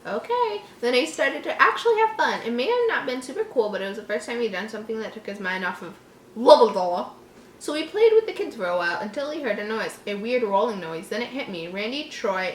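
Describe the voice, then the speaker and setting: Casting: female story reader, slightly high voice, casual, giggly, interjects joking commentary, roomy echo. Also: expressive, brisk, a little hiss or hum. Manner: giggly, reactive, often breaking off to comment.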